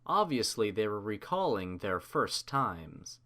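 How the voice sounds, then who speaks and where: A man's voice: lowish voice, sing-song, measured pace, sharp tone. A man reading a story aloud as narrator, in a scripted storybook style.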